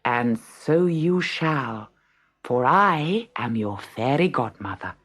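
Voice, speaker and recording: Woman as calm, low-voiced fairy godmother. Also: slow, heavily acted. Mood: kindly, brisk.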